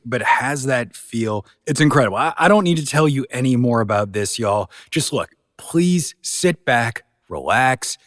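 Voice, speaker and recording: An enthusiastic man hosts a podcast in a close, dry recording. His pitch moves around in a lively way as he talks informally, leaning hard on his points. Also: dry recording. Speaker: podcast host. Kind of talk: casual podcast introduction.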